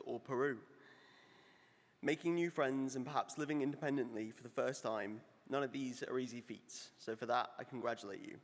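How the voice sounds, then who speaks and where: A man, a students' union officer, giving an earnest, congratulatory prepared speech at a graduation ceremony. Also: mid-range voice. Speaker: students' union vice president. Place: large ceremony hall. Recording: long hall reverb.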